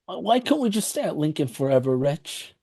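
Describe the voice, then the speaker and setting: A man reading a husky, soft-hearted friend. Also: fairly high pitch, very animated, normal speed, no echo, studio quality, exaggerated voice. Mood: pleading. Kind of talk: amateur table read.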